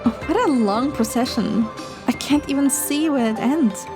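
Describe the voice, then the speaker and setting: A woman playing a sweet, affectionate young heroine, soft and earnest, in a scripted romantic audio drama. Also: high-pitched, expressive, normal speed. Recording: music behind the voice.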